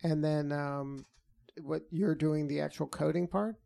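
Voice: mid-range voice, steady tone, unhurried, vocal fry, mellow. An interviewer asking curious, chatty questions and laughing often.

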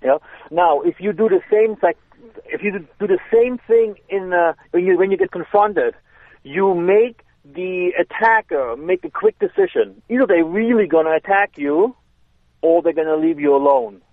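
Guest: German-accented man, fairly high voice, dry tinny phone sound, casual explainer. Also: moderate pace, no room sound. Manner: relaxed explaining, hesitant restarts. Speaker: self-defense instructor guest. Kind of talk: casual chat.

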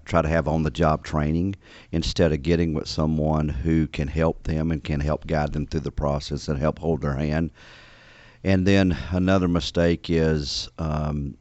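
Very deep-voiced man, slightly muffled. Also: darkish tone, no room sound. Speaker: business coach, interview guest. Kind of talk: conversational interview answers.

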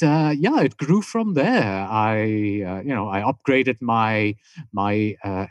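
A middle-aged man from Switzerland, a native German speaker with a mixed British and German accent, telling stories as a podcast guest in relaxed chat with frequent restarts. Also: medium pitch, no room sound. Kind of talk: casual interview chat.

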